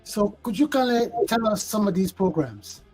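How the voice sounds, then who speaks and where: A man hosting an interview show, speaking in a formal, deliberate and ceremonious way. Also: high-pitched, expressive, darkish tone, faint music behind, rough recording. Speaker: talk show host.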